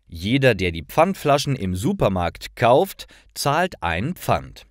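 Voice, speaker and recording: A man narrates a simple story for language learners in a fairly low voice. His delivery is slow and deliberate, with careful enunciation. The recording is close and dry. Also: dry recording.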